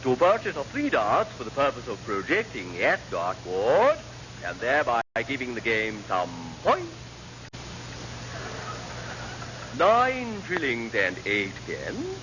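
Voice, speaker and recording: An actor playing a fussy, kindly naval padre with a pompous, churchy way of talking, in classic radio sitcom style. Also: male voice, mid-range voice, huge pitch swings, measured pace. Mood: officious, reciting. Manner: pompous, churchy, ornate phrasing. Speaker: ship's chaplain and welfare officer. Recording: muffled, noisy old radio comedy recording.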